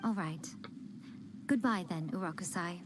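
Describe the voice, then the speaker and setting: A woman's voice: lowish voice, measured pace, noisy background, natural voice. An actress playing a sly, elegant female fox shrine maiden in a video game, poised, coy and knowing. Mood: serene, knowing. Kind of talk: video game dialogue acting.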